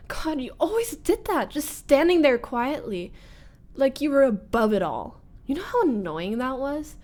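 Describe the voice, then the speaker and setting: Woman acting slow, high-voiced teasing former bully. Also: big pitch swings, darkish tone. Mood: frustrated, accusing. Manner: halting, breathy, drops into whispers, nervous chuckles and sighs. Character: teasing young woman, former school bully turned nervous roommate. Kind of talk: intimate ASMR-style roleplay monologue.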